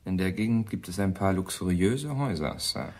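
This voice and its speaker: An actor playing a deferential police officer giving sober reports in a radio crime drama. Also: male voice, sits low, normal speed, a little mellow. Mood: sober, reporting. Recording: noisy background.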